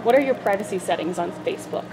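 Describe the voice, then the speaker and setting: A woman's voice: medium pitch, animated, fast talker, music in the background, rough recording. A young woman student interviewing passers-by, asking casual questions with many fillers. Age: young adult.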